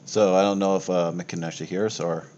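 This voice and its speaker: Casual male game master, deep register, sweary, slightly noisy online-call recording. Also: fairly even intonation, fast talker, darkish tone, highs cut off. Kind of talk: narrating a tabletop game with casual chat. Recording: noisy home voice-chat recording.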